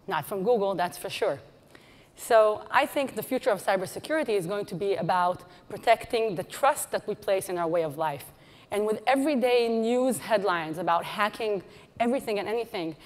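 A woman with an Israeli accent gives a conference talk in a big hall, her voice trailing into a long reverb. She teases and jokes with the crowd. Her pitch sits in a middle range. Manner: playful, teasing the audience with flight-attendant jokes. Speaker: conference speaker. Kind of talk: lively keynote presentation. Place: large conference hall stage.

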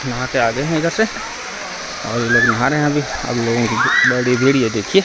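A middle-aged man talks to viewers through a phone held at arm's length as he walks a hill trail outdoors, pointing things out as he goes. His voice sits at a middle pitch, and loud outdoor noise runs under him the whole time. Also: normal speed. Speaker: family vlogger. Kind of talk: walking tour commentary. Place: outdoor hillside trail near a waterfall. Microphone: handheld phone at arm's length.

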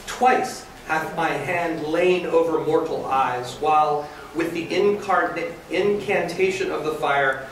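A man's voice: a little high, slow, few pauses, fairly bright tone. A man voicing a defiant, fervent pagan priest, declaiming archaic verse in a staged dramatic reading. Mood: solemn, proud. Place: hotel conference room, indoors. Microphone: camera across the room. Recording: very echoey room, distant mic, faint noise.

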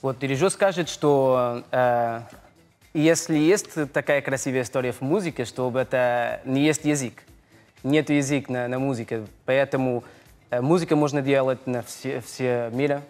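Brief: thirties Portuguese man, mid pitch, halting second-language interview speech, cheerful. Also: steady tone, a little mellow, soft background music. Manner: halting, broken grammar, cheerful. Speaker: guest musician. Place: television studio, indoors.

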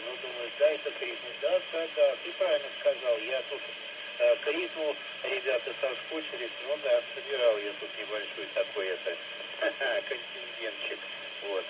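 A man chatting casually as a radio operator, rambling and hesitant with many fillers. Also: mid-range voice. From Russia. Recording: noisy two-way FM radio, loud static.

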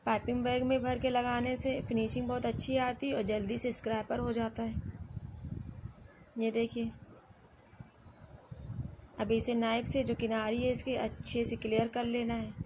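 Calm woman explaining cake steps. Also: fairly high pitch, background noise. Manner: calm step-by-step instructions. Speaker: home baker. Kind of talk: cooking tutorial narration.

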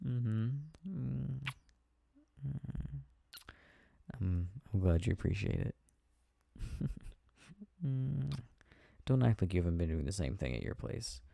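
A man playing an affectionate, tired boyfriend in an intimate ASMR roleplay, chatting softly and hesitantly with a playful, teasing manner. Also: fairly low pitch, sing-song, slow pace, creaky, pauses often, no room sound, exaggerated voice. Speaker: audio roleplay performer.

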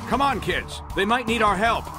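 A man's voice: high voice, animated, normal speed, music in the background. A man playing a big, caring older grandfather in a cartoon video game, firm and reassuring. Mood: firm, concerned.

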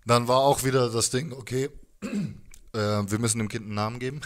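A man in his thirties talks in a loose, slangy interview style. His pitch sits in a middle range. He rambles and jokes along casually, speaking into a handheld mic indoors. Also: steady tone, normal speed. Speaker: musician, interview guest. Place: living room music space, indoors. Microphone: handheld microphone.

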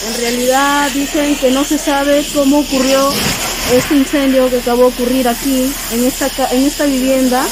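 A woman's voice: sits high, level tone, moderate pace. A woman with a Peruvian Spanish accent reporting live from a house fire. She uses many fillers and repeats herself, recorded on a handheld phone. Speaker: local news reporter. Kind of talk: on-the-spot news report. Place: outdoors at a house fire scene. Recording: loud background noise.

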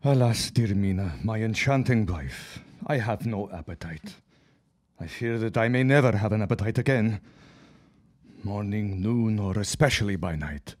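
A man's voice: on the low side, animated, moderate pace, close to an everyday voice. An actor playing a troubled, remorseful immigrant husband and reluctant henchman in an audio drama, speaking in a formal, melodramatic, lamenting way. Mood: gloomy. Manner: melodramatic, lamenting, formal old-fashioned phrasing.

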